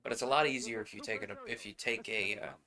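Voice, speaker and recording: A man's voice: fairly low pitch, fast, a crowd in the background. A man streaming from home, chatting casually and thinking aloud while he mends jeans. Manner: relaxed and rambling, thinking aloud. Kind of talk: casual chat. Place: home, indoors.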